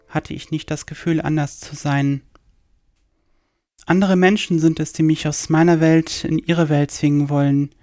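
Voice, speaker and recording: A man delivering a scripted personal monologue in a radio play, with calm, measured, declarative statements. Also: a little high, no echo, studio quality.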